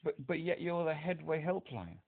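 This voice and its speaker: A man's voice: a little high, expressive, normal speed, vocal fry. Insistent man with a speech impediment. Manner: insistent, emphatic, repeats himself. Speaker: caller to a helpline. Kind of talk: phone call. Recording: tinny phone line.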